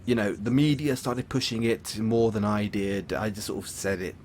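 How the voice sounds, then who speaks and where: A man playing a lonely, lovesick supervillain in an audio roleplay, theatrical and halting, often dropping into breathy near-whispers. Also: fairly low pitch, fairly even intonation, a little mellow, a little hiss or hum, close to an everyday voice. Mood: sheepish, rambling. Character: lovesick, lonely supervillain, suave musical phantom, obsessive and theatrical. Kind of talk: intimate audio roleplay acting.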